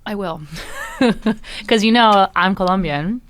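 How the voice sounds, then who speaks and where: A woman's voice: medium pitch, takes it slowly, a bit bright, slight background noise. A young woman co-hosting a podcast, chatting in a slangy, informal way with some Spanish words mixed in. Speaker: podcast co-host. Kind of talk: casual chat.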